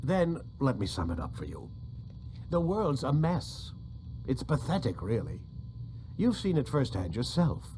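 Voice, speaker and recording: An older scientist villain in a game, lecturing with condescension. His mid-pitched voice is very animated and clipped, with faint noise behind it. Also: unhurried. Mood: condescending. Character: condescending, impatient older male scientist, coldly idealistic villain. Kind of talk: dramatic video game acting.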